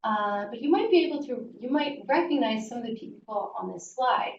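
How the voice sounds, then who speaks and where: A woman giving an informal slide presentation as a webinar host, speaking in a hesitant, halting way. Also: a little high, lively intonation. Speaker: webinar presenter for a business school. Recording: muffled, roomy, distant mic, faint noise.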